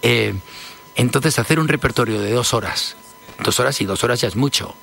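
Gravelly-voiced man in his fifties. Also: medium pitch, normal speed, a bit bright, faint music behind. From Spain. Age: 50s. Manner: hesitant, repeats words often. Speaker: singer, interview guest. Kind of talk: casual interview talk.

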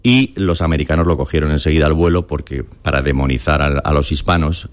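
A man's voice: extremely low pitch, fairly quick. A man from Spain with a Castilian accent, telling stories colloquially as an interview guest, slipping into imagined quotes. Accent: Castilian Spanish. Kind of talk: conversational storytelling in an interview.

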